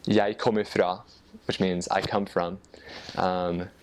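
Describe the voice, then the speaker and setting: American male, deep register, informal explainer, somewhat halting delivery as he thinks aloud. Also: level tone, poor audio. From United States. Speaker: former missionary explaining Norwegian dialects. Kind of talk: casual explanatory talk.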